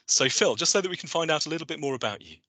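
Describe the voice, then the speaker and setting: A man's voice: on the high side, racing, no room sound. A man hosting an online coaching webinar, talking with enthusiasm and often restarting his phrases. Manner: enthusiastic, animated, with frequent restarts. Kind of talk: presenting a webinar with slides. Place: online video call.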